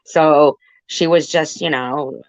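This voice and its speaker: Halting woman host, dry muffled audio. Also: deep voice, steady tone, no echo. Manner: halting, roundabout, hedging. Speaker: livestream host. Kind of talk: casual candid conversation.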